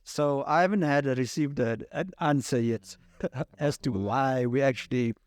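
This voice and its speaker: A man's voice: medium pitch, normal speed, no room sound, studio quality. A middle-aged man with a Southern African accent, chatting casually as a podcast guest and telling his own story. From Cape Town and Namibia. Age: 40s or 50s. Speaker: podcast guest, sound engineer.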